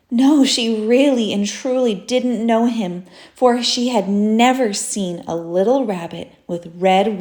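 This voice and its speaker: Casting: female storybook reader, very unhurried, expressive, deliberate phrasing, recorded in an echoey room. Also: fairly high pitch. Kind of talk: children's story read-aloud. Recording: roomy echo.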